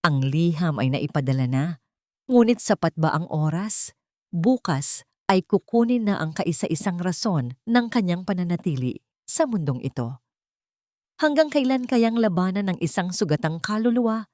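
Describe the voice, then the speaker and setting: A woman's voice: lowish voice, big pitch swings, normal speed, a little mellow, no echo, clean studio sound. A woman with a Filipino accent reading a dramatic scripted audiobook story as its narrator.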